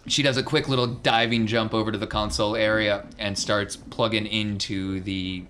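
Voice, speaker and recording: A man with a fairly low voice serves as game master at a tabletop game. He narrates action and rules casually. The recording has clear background noise from the room. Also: little pitch movement, moderate pace, fairly bright tone.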